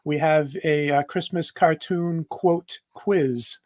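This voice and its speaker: A man's voice: a little high, steady tone, slow, a little mellow, dry recording, studio quality. A man chatting casually on a panel as a podcaster. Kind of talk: casual chat.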